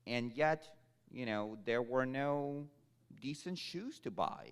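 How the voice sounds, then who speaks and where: Man, animated panelist. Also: medium pitch, slow pace, smooth. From Ukraine. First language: Russian. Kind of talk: panel discussion.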